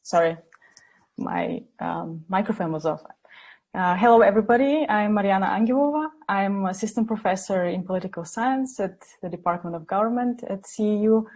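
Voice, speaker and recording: A woman's voice: mid-range voice, normal speed, a little mellow, breathy edge. A woman, an assistant professor, introducing herself earnestly and searching for words on a video-call webinar. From Bulgaria.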